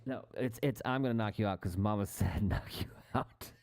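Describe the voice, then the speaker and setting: A man's voice: lowish voice, brisk, a little mellow, no room sound. A man chatting casually in a very informal, dude-heavy way. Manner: informal, peppers talk with "dude". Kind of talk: casual chat.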